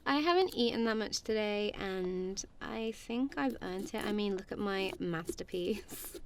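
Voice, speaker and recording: A woman's voice: mid-range voice, gravelly, slight background noise. A woman playing a goofy, affectionate friend in an ASMR roleplay, chatting casually in a playful, giggly, rambling way. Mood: cosy, upbeat.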